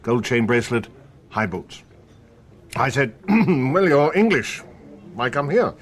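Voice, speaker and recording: An actor playing a wry, world-weary French police inspector in a naturalistic radio drama, sardonic and colloquial. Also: male voice, medium pitch, sing-song, slow. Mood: wry recounting.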